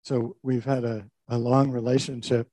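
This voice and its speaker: An older man, a pastor, recounts his own story in a warm, mellow voice. He talks reflectively, with small restarts along the way. The sound is close and dry. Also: mid-range voice, little pitch movement, moderate pace, dry recording. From Canada. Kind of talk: personal testimony talk.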